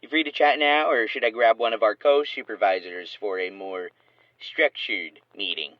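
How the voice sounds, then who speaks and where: A man's voice: on the low side, moderate pace, dry recording. A man playing a passive-aggressive alien accounting bureaucrat in an audio comedy, officious and condescending through an intercom filter. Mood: falsely polite. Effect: radio intercom filter. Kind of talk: scripted audio comedy acting. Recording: dull, dry intercom call.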